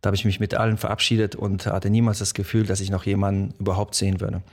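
Low-voiced, gravelly male guest, born in Poland, halting and full of fillers. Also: little pitch movement. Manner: hesitant, many fillers. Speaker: guest musician. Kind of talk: casual interview talk. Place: podcast studio.

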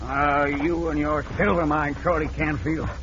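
An actor in a radio western playing a rough, slow-witted prospector who grumbles in an American Old West accent. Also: male voice, fairly high pitch, steady tone. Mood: grumbling. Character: grumbling, dim, gullible rough prospector turned crook. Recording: muffled, hissy vintage radio recording.

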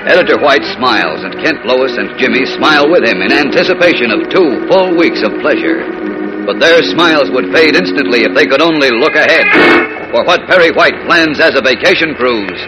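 A man's voice: mid-range voice, animated, a little fast, rough recording. A man announcing and narrating a 1940s radio serial in a polished, suspenseful manner. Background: loud music.

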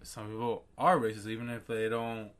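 A man co-hosting a podcast in casual chat, easygoing and thinking out loud. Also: fairly low pitch, flat delivery, moderate pace. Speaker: podcast co-host.

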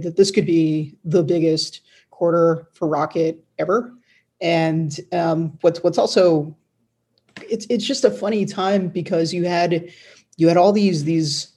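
Male news commentator, fairly high voice with fry, even intonation, halting conversational analysis. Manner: hesitant, halting, repeats words mid-sentence. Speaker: news editor and guest commentator.

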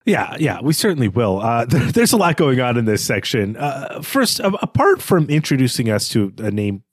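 A man's voice: mid-range voice, sing-song, moderate pace, husky, dry recording. A man co-hosts a book podcast in a casual, analytical chat. His intonation moves around a lot, and he hesitates as he thinks aloud. The recording is dry. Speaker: podcast co-host. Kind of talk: casual literary discussion.

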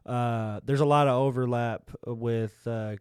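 A man's voice: mid-range voice, steady tone, measured pace, darkish tone, smooth, no echo, clean studio sound. A man co-hosting a casual podcast, talking in a rambling way and rephrasing himself as he goes. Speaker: podcast co-host.

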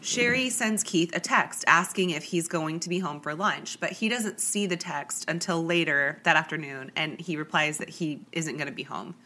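Young female podcast host, stuffy and chatty, lowish bright voice. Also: moderate pace, hardly any pauses, slight background noise. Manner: chatty, lots of likes and ums. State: congested with a cold. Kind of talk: true crime podcast storytelling.

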